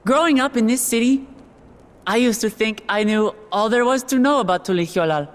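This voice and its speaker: An actress playing an earnest, warm-hearted young woman who has just become ruler, delivering a heartfelt ascension speech in a video game cutscene. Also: a little high, normal speed, close to an everyday voice. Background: orchestral game music.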